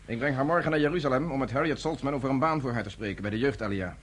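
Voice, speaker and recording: An actor playing a blunt, self-assured resistance fighter in a radio drama. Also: male voice, mid-range voice, racing, breathy edge, lightly acted. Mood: dry, matter-of-fact.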